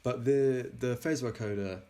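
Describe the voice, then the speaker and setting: A man presenting his own software in an informal technical demo, halting and often pausing mid-sentence. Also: medium pitch. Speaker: plugin developer presenting a demo.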